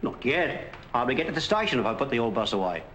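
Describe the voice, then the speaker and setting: A cheerful working-class cab driver in middle age, folksy and ungrammatical. His voice sits at an ordinary pitch for a man. A 1940s film soundtrack adds steady hiss. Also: fast talker. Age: middle-aged. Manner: folksy, plain-spoken, ungrammatical.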